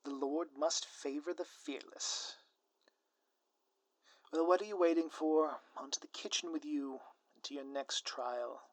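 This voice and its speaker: A man playing a scheming, theatrical supervillain in a scripted ASMR audio roleplay, with a pompous, florid, drawn-out delivery. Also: a little high, fairly even intonation, no echo. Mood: grandiose, gloating. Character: theatrical scheming male supervillain, gloating, menacing, easily flustered.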